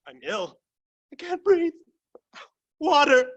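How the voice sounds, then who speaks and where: A man's voice: very high voice, sing-song, slow pace, heavily acted. A man playing a frail, timid old manservant in a theatrical play reading, breathless, groaning and pleading. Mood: ailing, gasping. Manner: pleading, faltering. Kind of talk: theatrical staged reading of a classic farce. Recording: home recording over video call.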